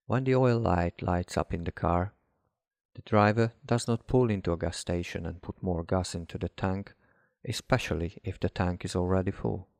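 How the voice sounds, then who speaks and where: A man reading a guided hypnosis relaxation script as a hypnotherapist, in a measured, instructive way with deliberate pauses. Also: fairly low pitch, a little mellow, dry recording, studio quality. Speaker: hypnotherapist guiding a session.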